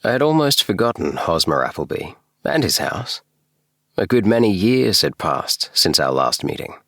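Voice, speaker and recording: A man narrating a bedtime story in a measured, expressive storytelling manner, recorded in a small booth. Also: sits low, dry recording, studio quality. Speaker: audiobook narrator.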